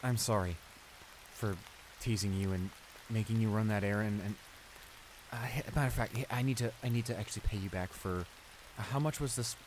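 A man's voice: on the low side, a little fast, natural voice. A man playing a flustered, apologetic young bully in an improvised roleplay audio drama, speaking in a hesitant, stammering way. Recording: steady rain noise behind the voice. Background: rain.

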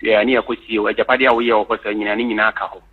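A man's voice: lowish voice, level tone, very fast. A man calling in to a radio show, talking earnestly and hesitantly with many fillers. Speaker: caller. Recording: husky tinny phone line.

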